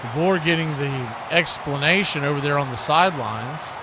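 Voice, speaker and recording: Guy doing live football play by play, kinda high voice, noisy recording. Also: normal speed, creaky. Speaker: play-by-play commentator. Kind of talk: live American football commentary.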